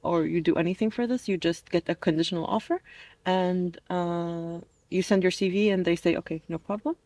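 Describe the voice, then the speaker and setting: A woman's voice: fairly low pitch, brisk, darkish tone, dry recording. Young woman, casual guest, dry close sound. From American who grew up in Dubai. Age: 20s. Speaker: interview guest, master's student. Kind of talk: casual conversational interview answers.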